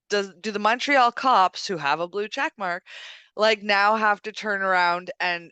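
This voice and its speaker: Bright, clear woman, assertive. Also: medium pitch, no room sound. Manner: assertive, argumentative. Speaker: podcast co-host. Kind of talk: casual opinionated discussion.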